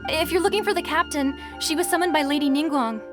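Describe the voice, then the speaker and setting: Woman voicing a courteous ship's crewwoman, high clear voice, formal phrasing, game music behind. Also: fast, close to an everyday voice. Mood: polite. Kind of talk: video game voice acting.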